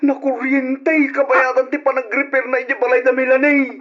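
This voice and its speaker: A man's voice: very high voice, level tone, moderate pace, fairly bright tone. A man playing a panicked young messenger in an old radio drama, with an Ilocano Filipino accent. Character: panicked young man bearing bad news about his cousin. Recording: dull lo-fi radio recording.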